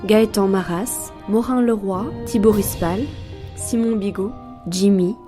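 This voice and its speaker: Woman reading drama credits real slow n deliberate, music loud behind her. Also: mid-range voice, steady tone. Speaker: credits announcer. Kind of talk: scripted audio drama credits. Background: new-age style music.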